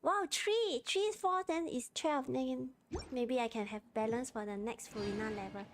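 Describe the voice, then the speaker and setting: A woman's voice: a little high, normal speed, a little hiss or hum. A Malaysian woman with a Malaysian accent, chatting casually in short clipped phrases while she plays a game on a livestream.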